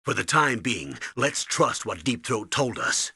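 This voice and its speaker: Male stern game commander, middle-aged, husky, hard-edged, urgent, emphatic, dry studio sound. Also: fairly low pitch, sing-song, a little slow, pushed and bright, no room sound, heavily acted. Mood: instructing. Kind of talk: video game voice acting.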